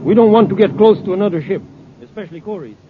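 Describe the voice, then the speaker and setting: A man playing a bossy, menacing outlaw ringleader in a 1950s space radio drama. His voice is rather high for a man and his delivery curt and scheming, heard through a muffled, noisy old recording. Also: fast, lightly acted. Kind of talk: 1950s radio adventure serial acting.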